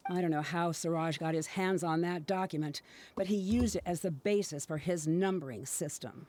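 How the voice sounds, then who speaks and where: Blunt, lowish-voiced young woman played by an actress. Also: moderate pace, darkish tone, lightly acted. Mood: recounting. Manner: curt, dismissive. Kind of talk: video game voice acting.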